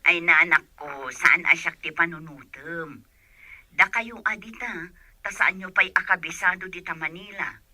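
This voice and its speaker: A woman's voice: on the low side, normal speed, no room sound. A woman playing a worried, doting mother in a radio drama, heard through a telephone filter. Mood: anxious, caring. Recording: thin, sharp phone line.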